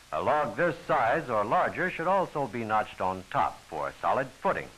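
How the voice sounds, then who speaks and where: A man's voice: on the low side, a bit bright, a little hiss or hum, rough recording. A man giving formal, instructional scripted narration for a training film, on an old film soundtrack.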